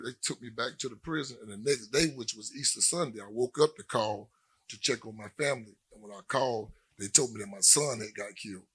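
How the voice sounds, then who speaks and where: Man, husky voice, halting plain testimony, panel talk. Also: mid-range voice, a bit bright. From Louisiana. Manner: plain-spoken, halting with many "um" pauses. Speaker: panel guest, former prisoner.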